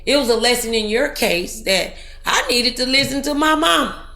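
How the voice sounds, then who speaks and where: A woman's voice: high-pitched, expressive, normal speed, bright, forceful tone, roomy sound, faint music behind. A woman hosting a talk show, speaking in an encouraging, preachy way full of motivational sayings.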